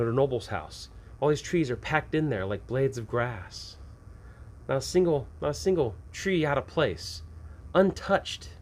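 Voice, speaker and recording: A man hosting a tabletop game advice show, talking casually and with enthusiasm, slipping into improvised scene descriptions in colloquial, folksy phrasing. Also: a little high. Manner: enthusiastic, animated when acting out examples.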